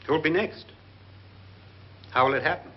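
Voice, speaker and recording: An actor playing a grave, suspicious guest in a haunted house, speaking formally and deliberately in 1950s horror film style. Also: male voice, a little high, natural voice. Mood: grave, foreboding. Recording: muffled 1950s film soundtrack.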